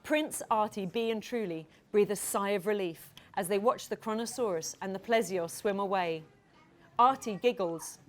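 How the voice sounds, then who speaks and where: A woman children's author reading her story aloud to young listeners in a playful, expressive style. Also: mid-range voice, normal speed. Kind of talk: animated storytelling to a live audience of children.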